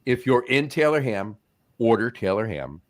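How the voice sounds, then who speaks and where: A man's voice: mid-range voice, big pitch swings, normal speed. A man co-hosting a beer show, joking around and reading out viewer comments in a casual way. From North Jersey, US. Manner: jokey, reads out viewer comments. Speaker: show co-host. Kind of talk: casual podcast chat.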